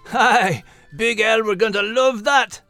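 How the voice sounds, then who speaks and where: A man's voice: high voice, takes it slowly, bright, forceful tone, soft background music, full character voice. A man playing a small, cheeky, feisty male dog with a Scottish accent in a children's podcast. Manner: cheeky, teasing. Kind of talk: character acting for a children's podcast.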